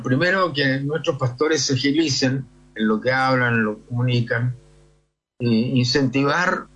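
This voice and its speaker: An older Chilean man with a husky, rough-edged voice speaks as a priest being interviewed. He talks discursively, checking for agreement as he goes. The recording is a little dull and lo-fi. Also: mid-range voice, a bit bright. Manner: discursive, often seeks agreement with tag questions. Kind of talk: conversational interview answers.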